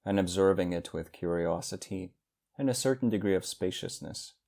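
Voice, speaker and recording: A man with a low-pitched voice leads a guided meditation. He speaks like a teacher, in measured phrases, and leaves generous pauses between one instruction and the next. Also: darkish tone.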